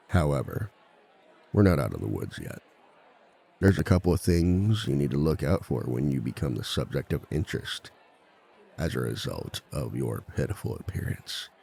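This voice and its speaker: A man playing a smooth, self-assured villain who gives a measured, mock-formal seminar talk in an ASMR roleplay. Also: very deep voice, a little mellow, heavily acted. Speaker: seminar speaker. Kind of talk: scripted ASMR roleplay monologue in seminar form.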